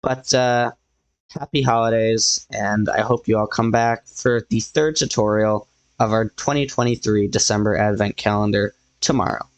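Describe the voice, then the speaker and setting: A man hosting a casual game building tutorial, thinking aloud as he builds, slightly hoarse because he is losing his voice. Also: lowish voice, fairly even intonation, normal speed, no room sound, poor audio. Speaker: tutorial host.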